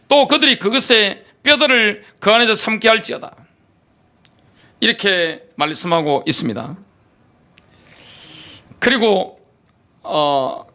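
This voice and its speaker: Animated high-voiced preaching man. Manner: animated preaching with rhetorical questions, reads scripture aloud. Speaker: pastor. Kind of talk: sermon.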